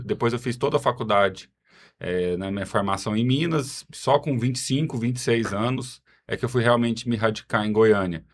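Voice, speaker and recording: A man with a Goiás Brazilian accent giving casual interview answers, self-deprecating and wandering into explanatory asides. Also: lowish voice. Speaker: podcast guest, city health secretary.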